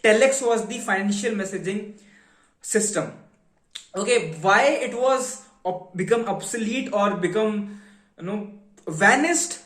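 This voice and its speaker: A man's voice: very high-pitched, a bit bright. A man with an Indian accent giving an informal explanatory lecture, emphatically posing questions and then answering them. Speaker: educator presenting a finance explainer. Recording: roomy, echoing sound.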